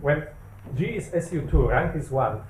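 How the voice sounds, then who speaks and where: A man's voice: fairly high pitch, animated, normal speed, roomy sound, a little hiss or hum, rough recording. A man in a seminar audience, politely asking puzzled questions. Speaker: audience member. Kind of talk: discussion question. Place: seminar room.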